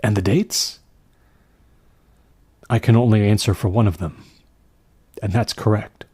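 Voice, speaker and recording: A man reads the part of a polite, uneasy male artist in an audiobook horror tale. He speaks carefully and hesitantly in a fairly low, husky voice. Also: steady tone, unhurried, warm tone, dry recording.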